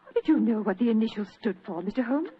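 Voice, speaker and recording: A secretive young aristocrat played by an actress in a vintage radio drama. She talks quickly in a fairly high, breathy voice, polite yet urgent. The recording is dull and old. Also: animated, natural voice. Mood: earnest. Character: secretive, well-bred young aristocratic lady, polite and composed.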